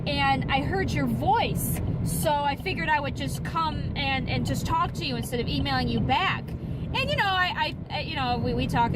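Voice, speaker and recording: A young woman with a nasal, piercing voice, rambling and self-deprecating in a casual vlog. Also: high-pitched. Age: young adult. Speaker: vlogger. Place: in a vehicle in traffic. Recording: distant mic with loud traffic noise.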